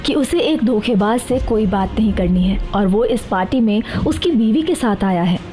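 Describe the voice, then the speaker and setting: A woman's voice: medium pitch, lively intonation, normal speed, dark tone, soft background music. A woman giving scripted dramatic narration for an audio story.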